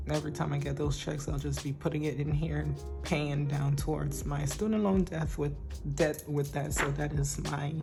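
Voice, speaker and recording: Soft, shaky low-voiced woman. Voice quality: weak, shaky voice. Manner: slow and soft-spoken, with hesitant ums and light laughs. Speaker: budgeting vlogger. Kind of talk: casual chat.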